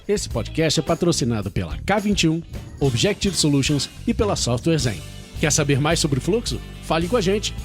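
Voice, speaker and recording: Brazilian announcer guy, highish voice that swoops around, scripted intro read, music playing behind. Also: sing-song, moderate pace. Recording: clear music bed behind the voice.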